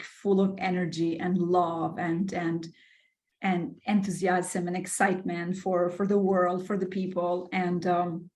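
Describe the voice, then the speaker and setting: Middle-aged woman from Albania, podcast guest; enthusiastic, filler-heavy, mid pitch. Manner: enthusiastic, with many fillers and repeated words. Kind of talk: casual interview storytelling.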